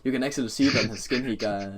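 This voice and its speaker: A man chatting casually and jokingly in a halting way as host of a gaming video. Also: mid-range voice, a loud crowd. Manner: halting, often interrupting. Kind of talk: casual joking chat over a game recording. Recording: noisy home mic, keyboard clicks. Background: keyboard typing and clicking, background noise.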